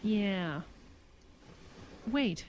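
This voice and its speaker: A woman's voice: mid-range voice, lively intonation, very slow, a little mellow, a little hiss or hum. A woman acting a thoughtful, haunted survivor in a horror audio drama, speaking in a reflective, tentative way. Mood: subdued, haunted.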